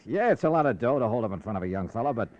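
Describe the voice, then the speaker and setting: Actor as a hardboiled American detective, swooping delivery. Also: male voice, medium pitch, expressive, very fast, a little mellow, close to an everyday voice. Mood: wry, firm. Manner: clipped, slangy, hardboiled delivery. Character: tough, wry American insurance investigator, hardboiled radio hero. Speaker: lead actor and narrator. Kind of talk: 1950s radio drama acting. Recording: dull vintage radio recording with music.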